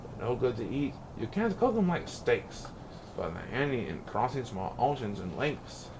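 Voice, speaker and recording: A man reading a rhyming children's story aloud in an expressive, playful, sing-song way. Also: mid-range voice, moderate pace, noisy background. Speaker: picture book reader.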